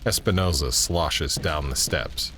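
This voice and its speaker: A man narrating a scripted audio drama in a measured, descriptive way. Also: very deep voice. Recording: background ambience and noise.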